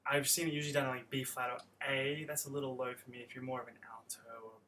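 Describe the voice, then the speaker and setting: A man's voice: medium pitch, level tone, fast talker, pushed and bright, slight background noise. A young man teaching guitar on a live stream, explaining chords in a friendly, rambling way with many restarts. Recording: guitar playing behind voice.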